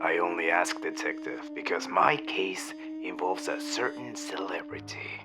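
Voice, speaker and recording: A man playing a secretive, melodramatic Hollywood film producer in a radio serial, speaking in a hushed way with dramatic pauses. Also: deep voice, over loud music. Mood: secretive, worried. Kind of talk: old-time radio serial acting.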